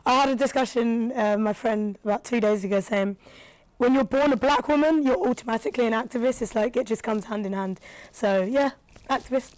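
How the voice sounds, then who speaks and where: A woman, a rapper and radio presenter, talking casually as a guest with a slightly raspy, tired voice and lots of fillers. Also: fairly high pitch, a little fast, a little mellow, no room sound, rough recording. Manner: chatty, thoughtful, with frequent fillers. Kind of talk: casual podcast conversation.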